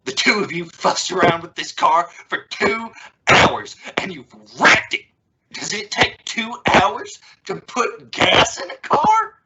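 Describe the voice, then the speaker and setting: A woman's voice: medium pitch, huge pitch swings, slow. A woman playing an exasperated, stubborn family father in an old-time radio sitcom, blustering, sarcastic and prone to stammer when cornered. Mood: furious. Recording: muffled recording with clear noise and vehicle sounds.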